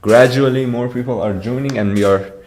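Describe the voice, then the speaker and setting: A man's voice: mid-range voice, fairly quick, darkish tone, a little hiss or hum. A young man with an Iranian accent, chatting casually on a livestream, often repeating words as he answers viewers. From Iran. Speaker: streamer.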